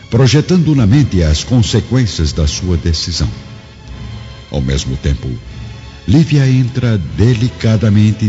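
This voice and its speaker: Guy narrating a radio drama, solemn, low voice w big dramatic swings, music under it. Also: warm tone. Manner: solemn, literary. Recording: dull lo-fi radio drama audio. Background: background music.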